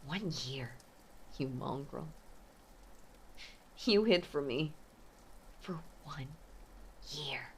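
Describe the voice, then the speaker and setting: A woman playing an obsessive, possessive mafia heiress ex-girlfriend in a dramatic audio roleplay, with intense, menacing, theatrical delivery and a breathy voice. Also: sits low, lively intonation, drawn-out words, long pauses, a little hiss or hum, heavily acted. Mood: angry, possessive. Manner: intense, menacing, theatrical, swinging between hush and outburst. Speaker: voice actor.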